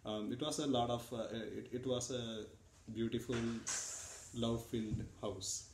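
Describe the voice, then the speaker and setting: A man from India, a father answering his child's interview questions in a relaxed, thoughtful way with many pauses and fillers. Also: medium pitch, gravelly, roomy sound, background noise. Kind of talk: casual family interview answers.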